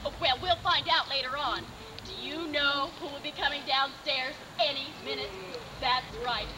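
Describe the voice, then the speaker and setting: A woman's voice: high voice, normal speed, rough recording. A woman storyteller narrating a children's theatre show, playfully coaxing the audience with questions. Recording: live theatre recording with loud music.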